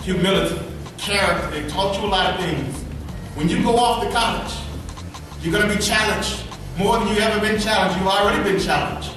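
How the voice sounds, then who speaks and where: A man in his thirties from Alabama with a slight lisp, giving an earnest motivational speech to students and repeating his key phrases for emphasis. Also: high voice. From Birmingham, Alabama. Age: 30s. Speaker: motivational speaker. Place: large echoey school event hall. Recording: echoey hall from far off, with music.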